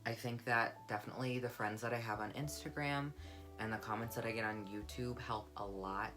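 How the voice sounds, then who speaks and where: Deep-voiced young woman, candid and warm. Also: steady tone, brisk. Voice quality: deep, low voice; warm, comforting. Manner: candid, reflective, soft-spoken. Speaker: vlogger. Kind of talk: personal vlog monologue. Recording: guitar music playing underneath. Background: guitar music.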